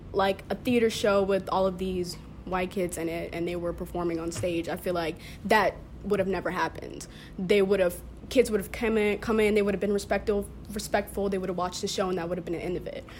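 A woman's voice: mid-range voice, a little mellow. Teen girl telling a story super casually, says like a lot, some noise behind her. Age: teens. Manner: youthful, filler-heavy talk with frequent "like". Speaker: high school theater student, podcast guest. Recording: clear background noise, unpolished room recording.

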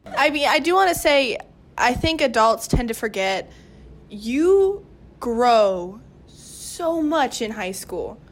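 A woman in her late teens with a fairly high voice joins a casual group discussion. She speaks earnestly and stresses her points like a student who wants to be understood. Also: sing-song, takes it slowly. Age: teens, about 18. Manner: emphatic, earnest. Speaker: podcast guest, student cast member.

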